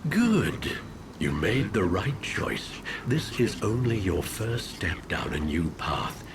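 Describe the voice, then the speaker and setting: An actor playing an ominous, otherworldly tempter in a video game, measured and commanding, over background noise. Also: male voice, fairly low pitch, sing-song, full character voice. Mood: coaxing, ominous. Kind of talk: dramatic video game cutscene acting.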